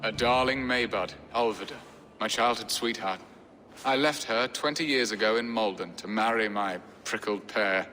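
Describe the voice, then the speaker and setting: A man's voice: fairly low pitch, normal speed, bright, forceful tone. An actor playing a wry, middle-aged nobleman in a video game, with a theatrical, sardonic, flowery way of speaking. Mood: wistful, wry. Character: wry, florid middle-aged English nobleman, weary husband. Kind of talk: video game cutscene acting. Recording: long hall reverb, music behind.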